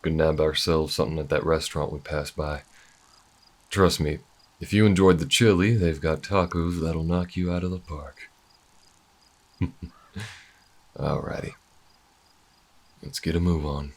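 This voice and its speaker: A man's voice: rumbling low, fairly even intonation, measured pace, long pauses, exaggerated voice. A man playing an easygoing, good-hearted bounty hunter in a space frontier audio roleplay, talking in a folksy, reassuring drawl. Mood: warm, upbeat.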